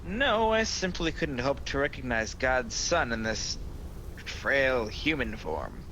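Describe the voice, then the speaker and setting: An actor playing a sly, proud devil who taunts and tempts in a sardonic, theatrical, persuasive manner in a radio play. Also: male voice, mid-range voice, big pitch swings, takes it slowly, sharp tone, heavily acted. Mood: mocking. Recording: noisy home video-call recording.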